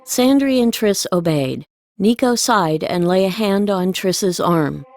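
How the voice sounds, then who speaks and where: A woman's voice: mid-range voice, lively intonation, slow, darkish tone, faint music behind. A woman reading scripted storybook narration as an audiobook narrator.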